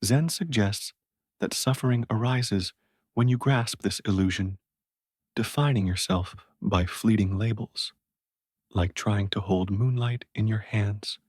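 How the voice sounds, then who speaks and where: Low, clear-voiced man guiding sleep meditation. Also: no room sound, studio quality. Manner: gentle, calming, measured. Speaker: narrator of sleep meditation stories. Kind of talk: slow guided sleep meditation and bedtime storytelling.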